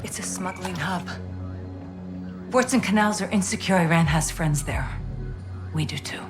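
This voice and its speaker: Calm precise intel officer played by a woman, low-ish voice, big loud music under her. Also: reverberant, close to an everyday voice. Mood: firm. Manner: calm, measured briefing delivery.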